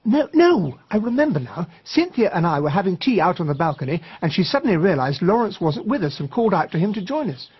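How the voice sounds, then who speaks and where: An earnest, slightly naive British gentleman, narrating and acting in a radio drama. His voice is very husky and animated, with polite hesitations. The recording is a little muffled. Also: high voice, quick pace, hardly any pauses, full character voice. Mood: surprised, protesting. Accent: British English.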